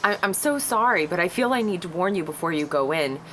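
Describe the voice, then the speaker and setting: A woman's voice: lowish voice, expressive, fairly quick, noisy background, close to an everyday voice. A woman at a table read playing an anxious, apologetic, deferential care home manager. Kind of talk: screenplay table read acting.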